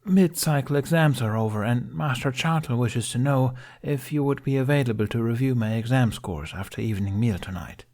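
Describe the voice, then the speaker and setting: A man's voice: mid-range voice, a little fast, few pauses, a little mellow, pitched above the performer's own voice, natural voice. A man voicing an earnest, troubled sixteen-year-old male apprentice in an audiobook, polite, deferential and hesitant. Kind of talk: audiobook character voice.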